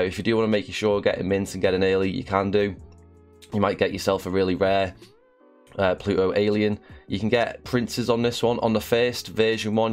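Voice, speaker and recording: A man's voice: on the low side, clean tone. A young man with a slight cough hosting a casual promotional video, chatty and full of fillers. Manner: chatty, with frequent "uh" and "um". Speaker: channel host.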